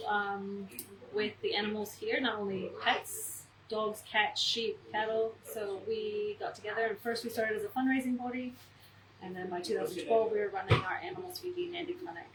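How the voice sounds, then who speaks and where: A woman's voice: mid-range voice, moderate pace. An American woman co-founder of an animal welfare charity, answering interview questions earnestly with many ums and restarts. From United States. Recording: echoey, distant mic with loud crowd noise.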